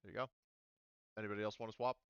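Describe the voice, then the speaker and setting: A man's voice: lowish voice, little pitch movement, rapid-fire, clear, resonant tone, dry recording, dull audio. A man running a tabletop game as game master, talking casually and thinking aloud as he checks the rules.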